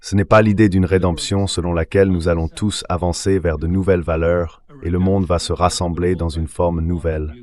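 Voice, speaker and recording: A man reading a translated voice-over as a guest commentator, explaining things in a reflective, measured way. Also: sits low, level tone, a little mellow.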